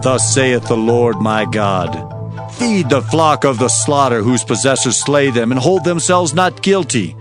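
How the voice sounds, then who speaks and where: An actor playing a fervent biblical prophet, declaiming solemn oracles in a dramatized scripture reading. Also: male voice, mid-range voice, animated, measured pace, lightly acted. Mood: lamenting, urgent. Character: fervent male Old Testament prophet proclaiming oracles. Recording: music behind the voice.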